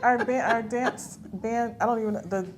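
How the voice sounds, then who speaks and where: A woman's voice: on the high side, fairly even intonation, normal speed, noisy background. A middle-aged woman from Philadelphia, telling her story as an interview guest, with many fillers and quoted dialogue. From Philadelphia, USA. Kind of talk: conversational interview.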